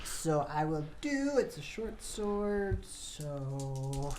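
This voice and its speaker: A man plays a tabletop role-playing game, speaking slowly and casually as he calls out his rolls. Other people's voices chatter clearly around him. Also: on the high side, very animated.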